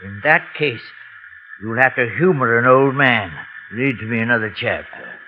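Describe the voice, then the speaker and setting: A man's voice: mid-range voice, moderate pace, slight background noise, close to an everyday voice. An actor playing a lonely old man who keeps a guest captive, speaking evasively with a hesitant stammer, in 1940s radio acting. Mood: insistent. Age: older. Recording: dull old radio broadcast.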